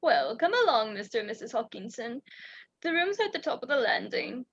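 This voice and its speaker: A woman's voice: on the high side, very animated, a little fast, gravelly, slightly rough, full character voice. A woman acting in a radio play as a chatty, obliging older innkeeper with a folksy, welcoming way of talking. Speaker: student stage actor. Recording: dull, lo-fi virtual recording.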